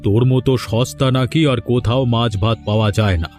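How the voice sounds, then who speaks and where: A man's voice: medium pitch, fairly even intonation, brisk, darkish tone, soft background music, close to an everyday voice. A man with a West Bengal accent voicing a benevolent, self-important village landlord in a cartoon, speaking with patronizing authority. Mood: patronizing approval. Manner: patronizing, approving, declamatory. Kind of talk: cartoon voice acting.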